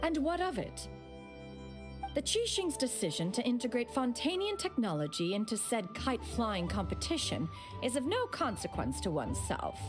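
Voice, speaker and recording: Pompous, haughty ancient immortal. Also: female voice, on the high side, sing-song, moderate pace. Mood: indignant, dismissive. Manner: pompous, archaic formal diction, calls self "one". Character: haughty ancient female immortal adeptus, proud, self-important. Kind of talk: video game character dialogue. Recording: game music behind voice.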